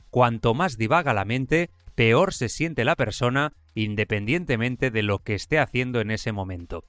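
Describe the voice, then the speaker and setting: Male science podcast narrator, Spain accent, medium pitch, scripted delivery, very dry sound. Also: fairly bright tone, dry recording. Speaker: podcast host.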